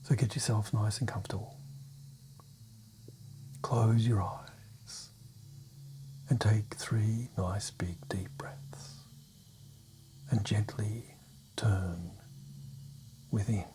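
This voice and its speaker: Male meditation narrator: hushed, half-whispered, gentle delivery on a steady, flat line, dark relaxed tone, short phrases with long pauses. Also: fairly low pitch, slight background noise. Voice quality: soft, breathy, half-whispered. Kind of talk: guided meditation, soft-spoken hypnotic suggestion.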